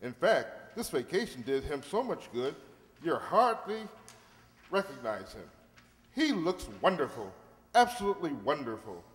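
A man's voice: fairly high pitch, very animated, unhurried. A man playing a jovial, gushing radio announcer in an old-time comedy, talking heartily. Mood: hearty, gushing. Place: stage of a large hall. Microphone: stand microphone. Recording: echoey hall stage performance.

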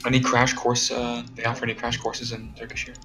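A man's voice: mid-range voice, monotone, a little fast, noisy background. A man playing a tabletop game, chatting casually in a playful, questioning way. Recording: music behind the voice. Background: background music.

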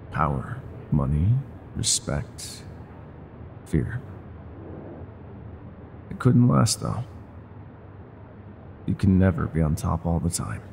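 Male actor as older ex-mafia bar owner, regretful, brooding, fry-heavy voice, intimate ASMR roleplay, audible background noise. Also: sits low, a little mellow, full character voice. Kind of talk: intimate scripted roleplay monologue, ASMR audio drama.

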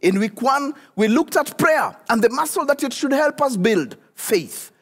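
Lively middle-aged pastor preaching. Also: male voice, very high-pitched, measured pace. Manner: animated, addresses viewers directly, repeats key questions. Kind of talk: sermon. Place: church stage studio, indoors. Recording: long hall reverb.

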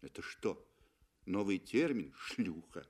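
A bitter, cowardly husband acted by a man speaks in a low voice. He is jealous and accusing, with clipped phrases, as in a 1960s radio play. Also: sing-song, slow pace, darkish tone, heavily acted.

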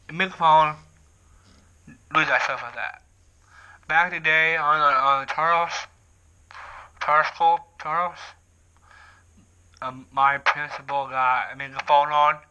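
A young man vlogging from his living room, excitedly talking through a handheld bullhorn and often repeating and restarting his sentences. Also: on the high side, slow pace, long pauses, clear, resonant tone, dull audio. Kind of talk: casual vlog to camera. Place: living room at home, indoors. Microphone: camera on tripod, talks through a handheld bullhorn.

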